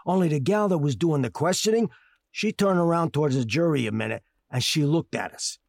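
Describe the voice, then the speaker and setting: A brash, hot-tempered husband. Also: male voice, fairly high pitch, lively intonation, fairly quick, gravelly, no echo, clean studio sound. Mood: puzzled. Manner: hot-tempered, yells and hollers. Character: brash working-class husband, a ghostly extra juror. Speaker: narrator. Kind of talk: 1940s radio acting.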